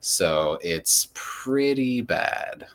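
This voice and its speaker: A man's voice: mid-range voice, expressive, extremely slow. A man hosting a local news podcast, moving between reading headlines and casual discussion.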